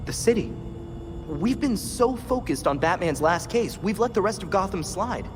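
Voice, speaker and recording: A man's voice: high-pitched, expressive, normal speed, over music. A man playing an eager, wry young superhero sidekick in a video game, with an earnest, boyish manner. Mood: concerned, determined. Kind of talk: cinematic video game acting.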